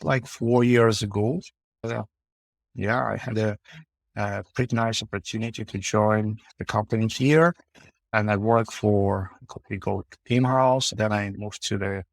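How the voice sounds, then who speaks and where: A man, a company founder answering interview questions haltingly with frequent fillers. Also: lowish voice, gravelly, no echo. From Ukraine. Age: 40s. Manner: halting, many uh fillers, non-native phrasing. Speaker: podcast guest, founder.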